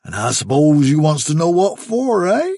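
A man's voice: fairly high pitch, huge pitch swings, moderate pace, dark tone, slightly rough, no room sound, studio quality, voice pushed up, heavily acted. A man voicing a cruel, taunting country man in a broad rural English dialect, reading a storybook. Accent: rural English dialect put on. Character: menacing rustic man, cruel and mocking.

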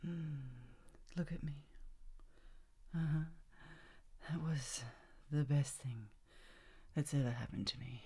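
A woman playing a lustful married teacher in an intimate roleplay, moving between husky whispers and loud breathy cries. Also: low-pitched, heavily acted. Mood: tender. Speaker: voice actor in an adult audio roleplay.

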